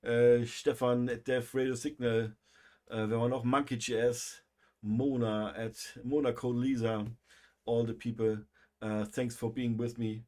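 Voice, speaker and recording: German guy hosting a stream, talks in english, lots of ums and uhs, stops and restarts a lot, medium pitch. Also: little pitch movement, darkish tone. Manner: halting, many fillers and restarts. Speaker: livestream host. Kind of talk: casual livestream sign-off.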